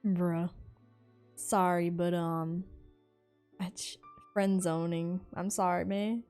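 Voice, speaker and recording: A woman doing casual gameplay commentary, with slangy, playful, deadpan asides. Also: on the low side, slow pace, clear, resonant tone, soft background music. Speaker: game streamer.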